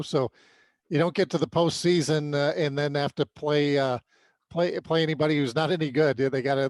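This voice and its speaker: A man with a somewhat high-pitched voice hosts a sports podcast. He puts questions to his guest in a casual, conversational way, recorded dry with no room sound. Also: a little mellow, no echo.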